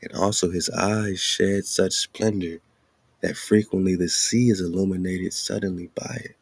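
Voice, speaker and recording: A man's voice: sits low, fairly even intonation, normal speed, creaky, slightly rough, no echo. A man hosting a show, reading aloud and commenting casually with informal, slangy asides. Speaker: radio show host.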